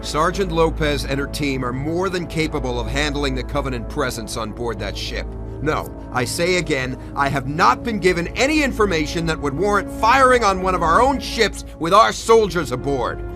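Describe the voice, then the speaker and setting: A man's voice: a little high, animated, natural voice. Man acting a stern ship commander over music. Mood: impassioned. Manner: formal, firm, deliberate authority. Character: stern, principled male navy ship commander. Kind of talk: motion comic voice acting. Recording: dramatic music behind.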